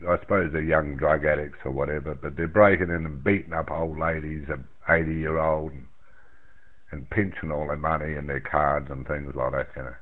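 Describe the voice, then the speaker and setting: A man's voice: very deep voice, little pitch movement, normal speed. An older Australian man chatting casually over amateur radio in a rambling, plain-spoken way, repeating himself as he goes. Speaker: amateur radio operator in a round-table chat. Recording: narrow, tinny radio transmission.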